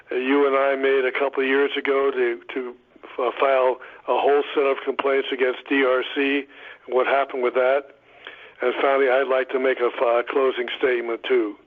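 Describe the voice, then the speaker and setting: A man calling in to a telephone conference call, laying out his remarks in a deliberate way. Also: mid-range voice. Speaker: patient caller. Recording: lo-fi narrow phone line.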